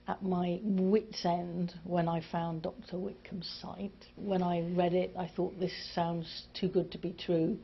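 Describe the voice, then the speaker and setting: A woman's voice: on the low side, vocal fry, breathy edge, lo-fi sound. A woman in her fifties with an English accent, giving an unscripted personal account as a patient. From England. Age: 50s.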